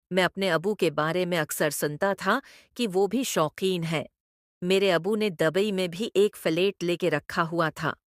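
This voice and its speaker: A woman's voice: medium pitch, no echo, clean studio sound. A woman reading a scripted first-person story as a narrator.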